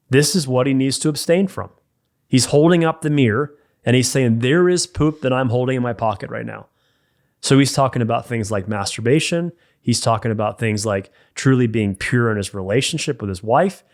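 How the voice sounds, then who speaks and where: Man, middle pitch, emphatic and direct, faith-based coaching talk on a podcast. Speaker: men's mentor and podcast co-host.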